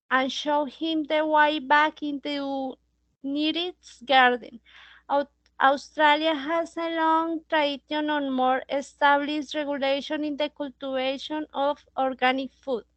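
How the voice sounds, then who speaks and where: Woman in her 30s, high voice, reads her english class talk real slow, dry sound. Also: a bit bright, dry recording, highs cut off. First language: Spanish. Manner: halting, reads slowly with fillers. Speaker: English learner. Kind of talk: reading a class presentation.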